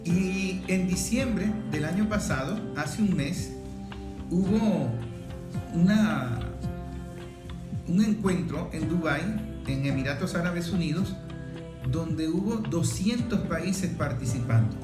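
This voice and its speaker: A Venezuelan man presents political commentary in a slightly raised pitch. He sounds conversational yet didactic, pausing for emphasis. The room adds clear echo, and music plays underneath. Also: lively intonation. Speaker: host. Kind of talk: political commentary to camera, explanatory. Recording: roomy echo with music behind. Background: background music.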